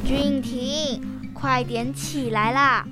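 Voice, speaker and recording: A dutiful schoolgirl big sister in a radio play, acted by a girl. Her voice is high and childlike, her delivery slow and bossy, with music behind it. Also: fairly even intonation. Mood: nagging. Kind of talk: children's radio drama acting.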